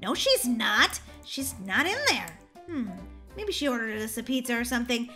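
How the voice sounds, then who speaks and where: Excitable, entitled young girl for game roleplay, played by a woman; high, animated, dramatic. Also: moderate pace, faint music behind. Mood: casual, puzzled. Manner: exaggerated, dramatic. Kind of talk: children's game roleplay acting.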